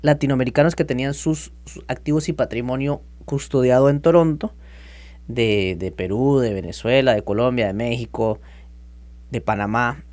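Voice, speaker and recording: Costa rican guy in his 30s, guest on a podcast, tells his story with a lot of energy, medium-pitched voice. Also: moderate pace, darkish tone. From Costa Rica. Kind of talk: casual interview talk.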